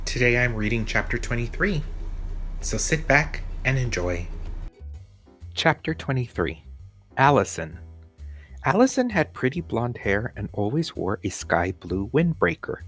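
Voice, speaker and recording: A man's voice: medium pitch, very animated, unhurried, a bit bright. A man reading a children's story aloud as a teacher would, with music behind him.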